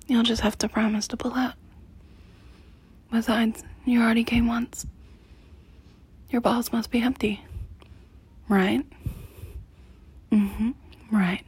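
A woman whispering close and low in an intimate roleplay, her voice very airy and breathy. She talks slowly with a mellow, dark tone sitting a little high in pitch. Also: flat delivery.

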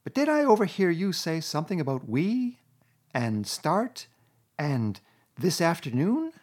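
A man's voice: fairly high pitch, very animated, unhurried, a little mellow, pitched above the performer's own voice. A man voicing a sensible, skeptical male water rat in a storybook reading, dry and firm. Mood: stubborn.

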